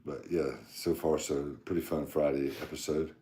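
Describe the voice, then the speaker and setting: A man hosting a podcast, joking around in casual chat with a very deep voice. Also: level tone, moderate pace, warm tone, echoey room. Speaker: podcast host.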